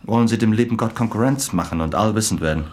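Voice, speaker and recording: An actor playing a brisk, cheeky newspaper reporter in a radio play. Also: male voice, on the low side, a little mellow, natural voice. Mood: insistent.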